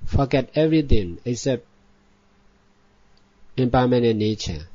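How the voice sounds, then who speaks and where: A man guiding a meditation as a Buddhist teacher, speaking in deliberate short phrases with long pauses. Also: medium pitch, expressive, mellow, no room sound. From Myanmar. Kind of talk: guided meditation instruction. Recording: dull lo-fi recording.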